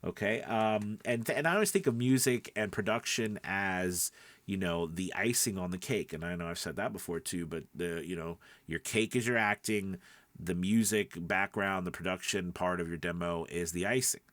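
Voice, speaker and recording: A man's voice: sits low, normal speed, a bit bright, dry recording. A man giving casual instructional talk as a voiceover coach, conversational and emphatic, often checking in with the listener. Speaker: voiceover coach and podcast host.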